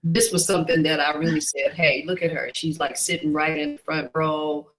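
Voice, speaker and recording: A woman's voice: sits low, steady tone, moderate pace, rough recording. A woman hosting a talk show, speaking reflectively and affirming her guest. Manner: reflective, thoughtful, warmly affirming. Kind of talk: casual interview chat.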